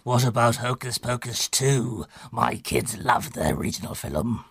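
Man playing a gruff, creaky older studio boss. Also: mid-range voice, animated, measured pace, a bit bright, dry recording, heavily acted. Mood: businesslike, impatient. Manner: curt, bossy. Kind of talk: radio drama acting.

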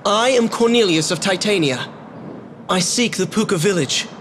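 A man's voice: high-pitched, expressive, moderate pace, a little hiss or hum. An actor playing a cursed young male rabbit-folk prince who speaks politely and earnestly. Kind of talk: video game cutscene acting. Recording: loud game music behind.

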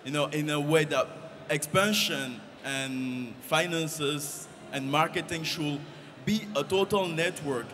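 A man's voice: a little high, slow, a bit bright. Young man, native French speaker, earnest, hesitant fillers, handheld mic, hall reverb, faint noise. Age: young adult. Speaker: audience member asking a question. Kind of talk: audience question at a summit. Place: large conference hall in the audience.

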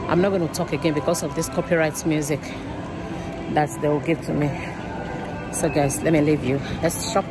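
A woman's voice: low voice, steady tone, brisk, long pauses, loud music behind the voice. A woman of fifty chatting casually to her phone camera as a vlogger, thinking aloud and laughing easily. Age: 50s. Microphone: phone at arm's length.

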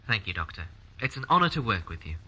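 An actor playing a deferential, scheming clone scientist in a science-fiction audio drama, speaking politely and formally. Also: male voice, lowish voice, sing-song, fast, pushed and bright, full character voice, poor audio. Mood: servile, hesitant.

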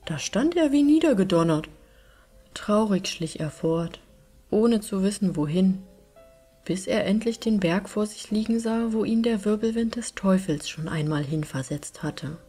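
A woman's voice: mid-range voice, animated, measured pace, warm tone, breathy edge. A woman narrating a fairy tale as a scripted audiobook reading. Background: music.

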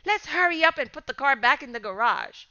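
A woman's voice: high-pitched, very animated, brisk, sharp tone, dull audio, full character voice. A woman voicing an anxious teenage boy in a storybook reading.

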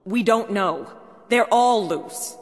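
An actress playing an anxious, earnest asylum doctor in a video game cutscene. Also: female voice, mid-range voice, expressive, takes it slowly. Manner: nervous, earnest. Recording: long stone-tunnel reverb, faint noise.

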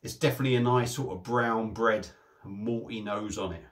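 A man's voice: lowish voice, moderate pace. Bloke in his 40s doing a chatty beer review at home, webcam sound, keeps restarting bits. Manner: chatty, repeats himself, restarts phrases. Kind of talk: casual beer review to camera. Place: small home room with shelves.

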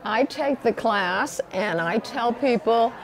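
Brief: older woman, community TV host, encouraging, slightly high voice. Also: takes it slowly, creaky, a crowd in the background, poor audio. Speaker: community TV interviewer. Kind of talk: interview and scripted sign-off. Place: indoor library room, seated in armchair.

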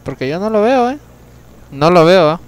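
A young man giving chatty gameplay commentary, talking to himself, swearing at the game and breaking into sudden yells. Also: high voice, very animated, normal speed, a little hiss or hum, poor audio. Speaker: let's play gamer.

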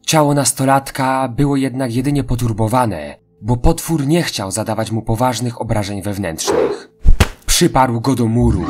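A man reading scripted horror narration in a dramatic storytelling style. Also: medium pitch. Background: faint music.

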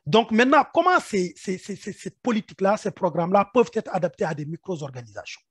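A man's voice: high voice, expressive, fast talker, husky, no room sound, clean studio sound. A man leading a webinar workshop over a video call, often repeating small words as he thinks aloud. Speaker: project officer leading a workshop. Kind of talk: webinar presentation. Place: indoor room. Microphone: video call webcam.

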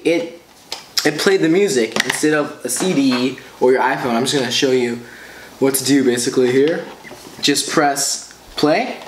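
A teenage boy chatting casually to viewers, enthusiastic and rambling, often repeating himself, recorded indoors a short distance from the camera. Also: fairly high pitch. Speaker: vlogger. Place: small room indoors at a table. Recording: roomy echo, clear background noise.

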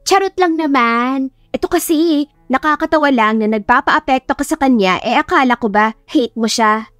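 A giggly young teacher played by a woman narrator who loves to tease her friend. Her voice is high and exclamatory, playful audiobook storytelling over music. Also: moderate pace, a bit bright, dry recording, clean studio sound. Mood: gushing, teasing.

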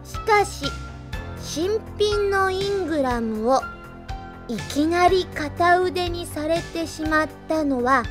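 An earnest young policewoman with a very high, girlish voice. She thinks aloud at an unhurried, slow pace as she writes up her report. It is an anime radio drama with music. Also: lively intonation, exaggerated voice. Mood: earnest, indignant. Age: young adult. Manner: thinking aloud while writing a diary. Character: young policewoman, earnest, girlish, scatterbrained rookie robot pilot.

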